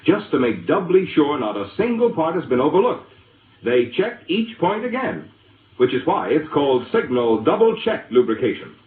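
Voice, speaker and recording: A tinny, echoing vintage broadcast of an American man selling as a 1940s radio announcer. He is polished and emphatic, with a medium-pitched voice that rises and falls vividly. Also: very animated, moderate pace. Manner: emphatic, polished salesman's address. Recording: vintage radio broadcast, narrow-band, boxy echo.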